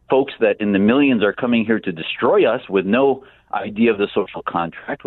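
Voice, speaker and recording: A man's voice: medium pitch, no room sound. Earnest man on a phone line. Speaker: interview guest, congressional candidate. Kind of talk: political radio interview. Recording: thin narrow phone line.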